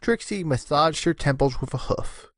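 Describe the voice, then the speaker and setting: A man's voice: on the high side, animated, normal speed, no room sound. Male narrator for a scripted fan tale; very varied intonation, dry booth-like sound.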